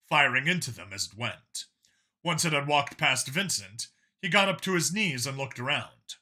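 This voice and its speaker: Guy narrating an action audiobook, really bright forceful voice, pitch moves around a lot. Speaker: audiobook-style story narrator. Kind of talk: dramatic scripted fiction narration.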